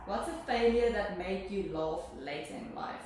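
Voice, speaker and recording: A woman interviewer reading out prepared questions in a brisk, upbeat way. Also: medium pitch. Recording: echoey room, distant mic, audible noise.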